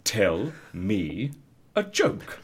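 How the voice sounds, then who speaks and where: A theatrical, wildly swooping comic interviewer. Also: male voice, on the low side, huge pitch swings, drawn-out words, slightly rough, exaggerated voice. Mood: bossy, dry. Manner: theatrical, incredulous, bossy. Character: eccentric, exasperated male secret agency interviewer, theatrical and bossy. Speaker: actor in an audio comedy drama. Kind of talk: scripted comedy audio drama dialogue.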